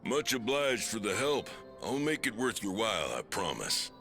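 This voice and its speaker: An actor voicing a gruff, businesslike railway boss in a video game. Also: male voice, fairly low pitch, big pitch swings, normal speed, sharp tone, faint music behind, heavily acted. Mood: grateful.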